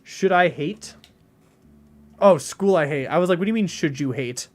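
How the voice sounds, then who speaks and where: A young man in his late teens chats casually while he streams a game. His voice sits slightly higher than most men's. He jokes with a flat, deadpan delivery and rambles through fillers and restarts. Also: normal speed. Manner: deadpan, flat delivery even when joking. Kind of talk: casual live-stream chat.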